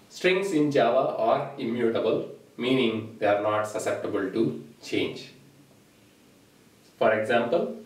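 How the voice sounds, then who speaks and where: Man teaching in an echoey room. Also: mid-range voice. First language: Telugu. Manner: steady, explanatory, points at the board. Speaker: programming instructor. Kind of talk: whiteboard tutorial lecture. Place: small indoor room at a whiteboard. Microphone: camera mic at a distance. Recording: roomy echo, voice from a distance.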